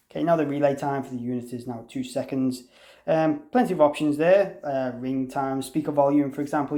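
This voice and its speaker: A man with a slightly high-pitched voice presents an informal software demonstration. He explains each step conversationally, thinking aloud without a script. The sound is roomy, with clear echo around his voice. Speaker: demonstrator presenting a product tutorial. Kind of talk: informal software walkthrough, unscripted. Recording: roomy, echoey room sound.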